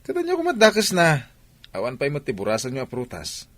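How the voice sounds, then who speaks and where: A man plays an exasperated village cousin in an Ilocano Filipino radio comedy. He chides and teases at a middling male pitch that rises and falls with lots of animation. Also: huge pitch swings, brisk, fairly bright tone, no echo. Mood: chiding.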